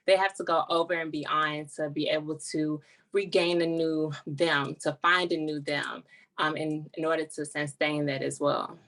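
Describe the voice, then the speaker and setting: Deep-voiced woman, earnest interview guest. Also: flat delivery, normal speed, fairly bright tone, poor audio. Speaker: nonprofit founder, interview guest. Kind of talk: explanatory interview talk.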